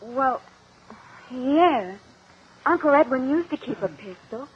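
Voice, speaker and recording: High-voiced uneasy young heiress. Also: female voice, animated, takes it slowly, breathy edge, full character voice. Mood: hesitant, guarded. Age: young adult. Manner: hesitant, guarded. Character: uneasy, defensive young heiress on the witness stand. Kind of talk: 1950 radio courtroom drama acting. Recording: muffled, hissy old radio recording.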